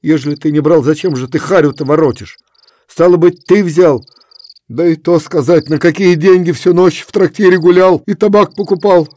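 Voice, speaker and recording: A man's voice: medium pitch, lively intonation, normal speed, few pauses, warm tone, a little hiss or hum, close to an everyday voice. A man voicing a pious old wandering peasant who talks insistently and lectures in plain, moralizing terms. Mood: stern reproach.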